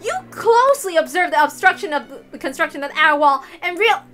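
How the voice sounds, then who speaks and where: A woman's voice: sits high, expressive, fast talker, soft background music, pitched above the performer's own voice. A shrieking, unhinged young female detective. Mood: aggressive, accusing. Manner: frantic, shouting, cackling outbursts. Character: unhinged, shrieking, smug young woman detective, desperately boastful.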